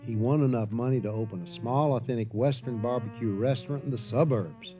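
A man's voice: medium pitch, fairly quick, music in the background, lightly acted. A man narrating a radio comedy, telling the story in a wry, confiding way.

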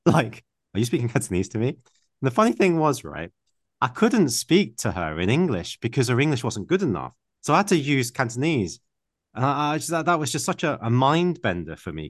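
A man hosts a casual chat, telling anecdotes and laughing through them. His voice sits fairly low. The sound is dry and close. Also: no room sound. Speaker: podcast host.

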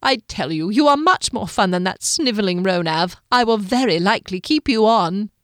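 A woman's voice: medium pitch, animated, moderate pace, a bit bright, no room sound, studio quality, close to an everyday voice. A woman voicing a pale male lieutenant who is cheerful and menacing, speaking in a mocking, theatrical, sing-song way. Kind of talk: audiobook character voice.

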